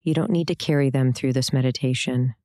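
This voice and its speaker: Female meditation guide, very deep register, soft mellow tone, calm and gentle scripted delivery. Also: smooth, no room sound, clean studio sound. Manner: calm, gentle, unhurried instruction with pauses. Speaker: meditation and yoga teacher.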